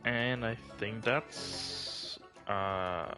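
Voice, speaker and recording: A man's voice: lowish voice, drawn-out words, over music. A man streaming a video game, chatting casually and thinking aloud with questions to himself. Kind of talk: casual gameplay commentary.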